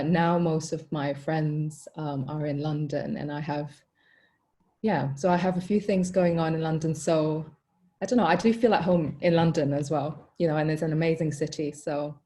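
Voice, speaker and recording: A woman's voice: low-pitched, warm tone. A young woman born in Hong Kong and raised in Italy and Malaysia, answering interview questions as a hotel co-founder, thoughtful, with frequent ums and "you know".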